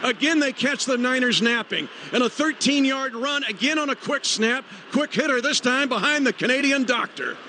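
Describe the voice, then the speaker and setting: High-pitched American sportscaster calling football. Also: male voice, moderate pace, hardly any pauses, sharp tone. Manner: clipped, urgent phrasing. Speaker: radio play-by-play announcer. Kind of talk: live American football commentary. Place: stadium broadcast booth. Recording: noisy live stadium radio broadcast.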